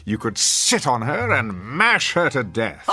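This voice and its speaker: An actor playing a cruel, sardonic party host in a radio drama, speaking with pompous, arch, biting wit. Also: male voice, mid-range voice, wildly swooping pitch, unhurried, bright, forceful tone, breathy edge, exaggerated voice. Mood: mocking charm.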